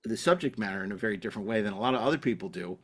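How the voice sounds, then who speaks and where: A man hosting a podcast in casual, rambling chat, halting often and repeating his words. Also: on the low side, animated, husky. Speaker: podcast host.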